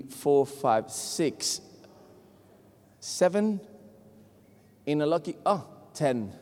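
A man from Puerto Rico, Spanish his first language, with a Puerto Rican accent, preaching an animated, joking sermon to a congregation. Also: medium pitch. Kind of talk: lively sermon. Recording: long hall reverb.

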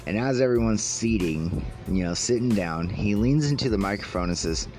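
A man hosting a casual solo podcast about news stories, with a slightly raspy voice and a halting, amused way of talking. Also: on the low side, lively intonation, darkish tone, soft background music. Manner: halting, pausing mid-sentence; amused, chuckling as he talks. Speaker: podcast host.

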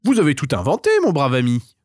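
A man's voice: medium pitch, very animated, a little fast, darkish tone, slightly rough, no room sound, clean studio sound, pitched above the performer's own voice. A man voicing a proud, clever mother hen, formal and theatrical, in a children's tale. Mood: indignant.

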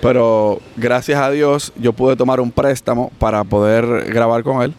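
A man's voice: medium pitch, fairly even intonation, a little slow, darkish tone. Teasing man hosting a podcast. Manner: teasing, joking interviewer. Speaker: podcast host. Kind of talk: casual podcast interview.

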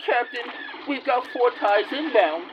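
A man's voice: very high-pitched, expressive, unhurried, muffled recording, noisy background. A man playing an anxious, wisecracking starship pilot in a space audio drama, with a nervy, exclaiming delivery. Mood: frantic.

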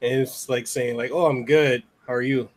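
A man hosting a podcast, a native Samoan speaker from outside the U.S., easygoing and quick to laugh. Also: mid-range voice, steady tone. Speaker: podcast host. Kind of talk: casual interview hosting.

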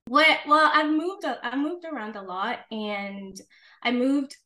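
Young woman co-host, candid and chatty, bit high-pitched, laughs easily. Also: unhurried, pushed and bright. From Ghana and the United States. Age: 20s. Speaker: podcast co-host. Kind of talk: casual chat.

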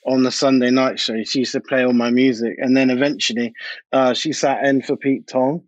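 A man's voice: mid-range voice, fairly even intonation, normal speed, no room sound. British man chatting, dull dry recording. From United Kingdom. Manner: informal, colloquial, anecdotal. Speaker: interview guest, DJ and music producer. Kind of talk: casual interview chat.

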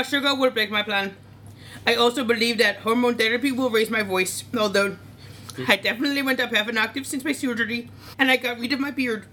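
A woman's voice: on the high side, sharp tone, a little hiss or hum. A woman putting on a giggly Indian-accented auntie type. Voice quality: broken-English phrasing, giggly. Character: chatty Indian-American woman from New Jersey. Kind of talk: improv character interview.

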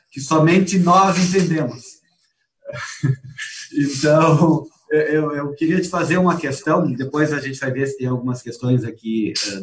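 A man from Brazil, moderating a webinar on a video call, speaking slowly and hesitantly. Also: mid-range voice. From southern Brazil. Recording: distant, roomy mic sound.

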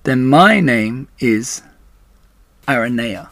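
A man voicing tiny, friendly young female spiders in a children's storybook, with bright, polite speech. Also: medium pitch, expressive, takes it slowly, vocal fry, dry recording, exaggerated voice. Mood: eager, friendly. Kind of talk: children's storybook read-aloud.

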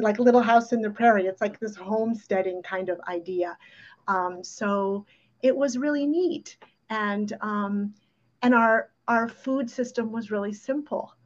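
A woman's voice: mid-range voice, highs cut off. A woman in her fifties with an American accent, a native Ukrainian speaker, telling personal stories casually with conversational asides. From New York City, USA. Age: 50s. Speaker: podcast guest.

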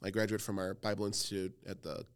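A low-voiced man in his thirties, smooth and clear, chatting easily as a youth pastor on a church podcast. He talks loosely and warmly about himself, full of little fillers and wry self-deprecating asides. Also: little pitch movement, quick pace. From Cleveland, Ohio. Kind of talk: casual podcast conversation.

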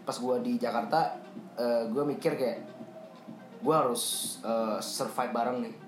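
A man's voice: mid-range voice, fairly even intonation, measured pace. A man hosting a podcast, chatting casually in slang and prompting his guest with questions. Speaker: podcast host. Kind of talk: casual interview chat. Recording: echoey room, faint music.

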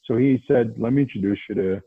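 A man's voice: fairly low pitch, fast, slightly rough, no room sound. Guy from outside boston, guest on a podcast call, repeats words a lot, sounds like a phone line. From New England, outside Boston. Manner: animated, many restarts and repeated words. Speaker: podcast guest, investment banker. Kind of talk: casual interview talk.

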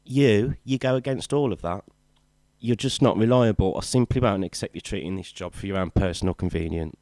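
A man's voice: fairly low pitch, brisk, darkish tone, no room sound, natural voice. A man with a British accent playing a stern, reproachful shop manager in an audio drama, firm and lecturing.

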